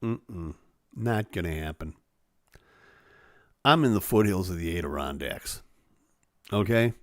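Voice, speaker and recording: A man's voice: on the low side, dry recording, studio quality. A man hosting a live stream, chatting casually with viewers in a self-deprecating way while losing his voice. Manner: chatty and self-deprecating, many fillers and asides. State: losing his voice, throat-clearing.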